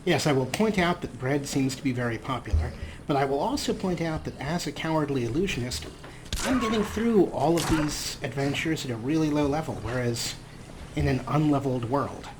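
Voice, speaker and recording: A man's voice: mid-range voice, lively intonation, fairly quick, noisy background. Wry male let's play commentator. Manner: wry, self-deprecating. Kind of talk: casual gameplay commentary.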